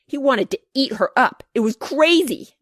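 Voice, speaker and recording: Young boy storyteller played by a woman narrator, excitable, suspenseful, very animated, storybook reading. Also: sits high, normal speed, dry recording, clean studio sound, voice pushed up. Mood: thrilled. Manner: dramatic, emphatic, building suspense. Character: excitable young boy breathlessly telling a dragon tale.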